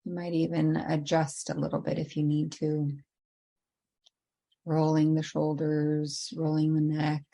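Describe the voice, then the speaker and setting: A woman leading a guided meditation in an unhurried, gently inviting way with long pauses. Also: deep voice, monotone, gravelly.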